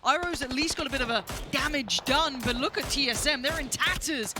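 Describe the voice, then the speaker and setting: A man casting a live esports match on a headset, getting excited and shouting when fights break out. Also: very high voice, fairly quick, over music. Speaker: esports caster.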